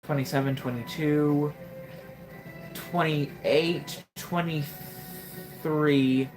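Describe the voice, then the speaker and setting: A woman's voice: low-pitched, steady tone, drawn-out words, darkish tone, soft background music, poor audio. A woman in her twenties casually commenting on her gameplay during a live stream, wry and joking, often exclaiming to herself. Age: 20s.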